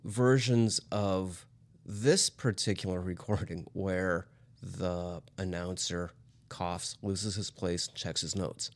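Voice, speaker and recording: Low, creaky-voiced man hosting a music show. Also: steady tone, takes it slowly. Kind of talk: casual chat about vintage recordings.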